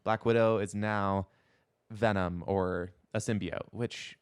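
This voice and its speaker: A man co-hosting a casual podcast, giving his opinions in a halting, conversational way. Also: lowish voice, fairly even intonation, clear, resonant tone. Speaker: podcast co-host.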